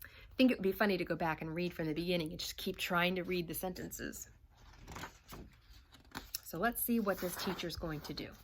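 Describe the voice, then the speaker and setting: A woman's voice: on the low side, normal speed, long pauses, slight background noise. A woman teacher reading a picture book aloud to young children, stopping to ask the class questions. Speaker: first grade teacher.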